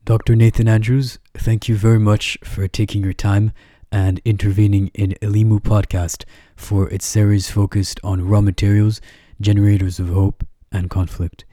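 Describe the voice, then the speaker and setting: Podcast host, man, measured and formal, mellow tone in a dry room. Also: fairly low pitch, flat delivery, dry recording. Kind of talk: scripted interview questions.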